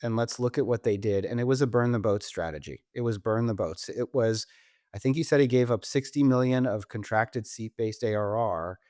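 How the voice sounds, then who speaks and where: A man's voice: medium pitch, moderate pace, a little mellow, no echo, studio quality. A man hosting a conversational business podcast, speaking emphatically and repeating phrases for effect. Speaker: podcast host.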